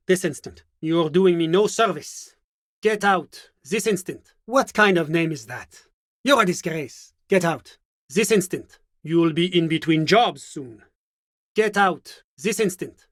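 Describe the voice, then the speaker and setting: A high-voiced, prim, smug bureaucrat. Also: male voice, no echo, studio quality. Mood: indignant, curt. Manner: polite, prim, officious, measured formal phrasing. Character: genteel, smug bureaucrat, devoted moralist and institutional loyalist. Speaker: video game voice actor. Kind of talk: scripted game dialogue.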